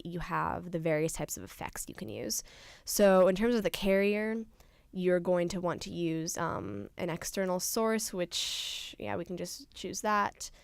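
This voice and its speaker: A young adult woman presenting an informal software tutorial, explaining things casually step by step. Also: lowish voice, clear, resonant tone, dry recording, clean studio sound. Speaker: tutorial presenter, studio intern.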